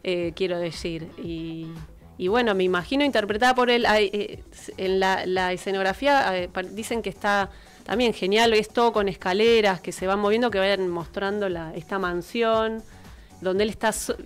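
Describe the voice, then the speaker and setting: A woman with an Argentine Rioplatense accent, a radio co-host telling stories casually in an expressive, rambling way. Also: mid-range voice, moderate pace, clear, resonant tone. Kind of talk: animated casual storytelling and banter. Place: small radio studio. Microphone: close studio microphone on arm. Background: music.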